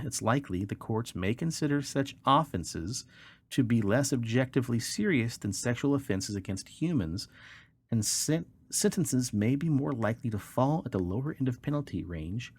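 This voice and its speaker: A man hosting a podcast, reading articles aloud and chatting in an informal, colloquial way. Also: medium pitch. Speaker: podcast host.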